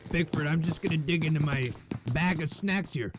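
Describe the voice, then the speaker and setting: A man with a fairly high voice calls live play-by-play for a shooter match, joking and bantering as he goes. The game's gunfire and engine noise run clearly beneath him. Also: normal speed. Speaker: esports play-by-play caster. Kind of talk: live game commentary.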